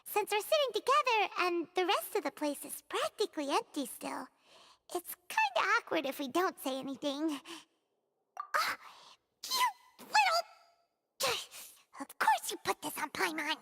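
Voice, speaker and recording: A woman voicing a small, chirpy, easily flustered fairy girl in a video game, with a squeaky childlike delivery. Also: huge pitch swings, a little slow, vocal fry, bright, forceful tone, in a large hall, heavily acted. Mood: flustered, awkward. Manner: childlike, refers to herself in third person.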